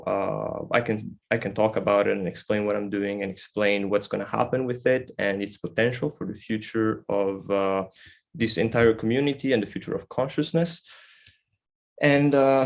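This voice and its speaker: A man with an Italian accent gives an informal talk in a fairly low voice with a strong gravelly creak. His delivery is halting and reflective as he explains things as a guest speaker. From Italy. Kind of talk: informal spoken presentation.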